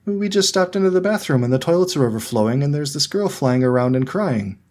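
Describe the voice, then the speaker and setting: A man voicing a clever young schoolgirl who speaks in a squeaky, fretful way. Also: lively intonation, fairly quick, a little mellow, close to an everyday voice. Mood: anxious.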